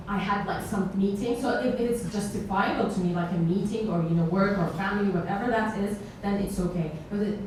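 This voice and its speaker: Woman panelist giving casual advice, very echoey, noisy room behind her. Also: mid-range voice. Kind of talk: conversational advice to an audience member. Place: indoor meetup event space with seated audience. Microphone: far camera. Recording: very echoey room, distant microphone, noisy background.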